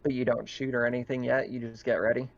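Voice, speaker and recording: Male game master, casual tabletop narration, average pitch, dull recording. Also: little pitch movement, fast talker, creaky.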